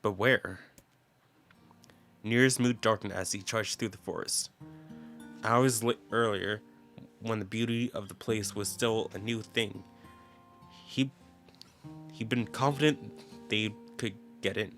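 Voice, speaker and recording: A man's voice: fairly low pitch, steady tone, a little slow, long pauses, fairly bright tone. A man reading game text aloud as a dramatic narrator in a let's play. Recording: background game music. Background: game music with plucked guitar.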